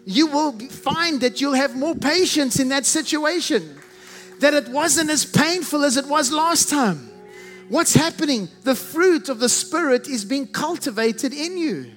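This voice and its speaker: South African preacher, very high male voice, emphatic and repetitive, leans hard on key words; long hall reverb with faint music underneath. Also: lively intonation, fairly bright tone. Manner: emphatic, repeats strings of synonyms, stretches key phrases slowly. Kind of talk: sermon.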